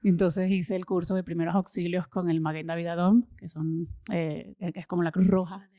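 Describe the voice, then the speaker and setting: A Venezuelan woman in her thirties, talking enthusiastically as an interview guest and often pausing with fillers and repeated words. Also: lowish voice, little pitch movement, warm tone, dry recording, studio quality. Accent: Venezuelan Spanish. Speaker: guest, social impact consultant. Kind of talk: casual interview conversation.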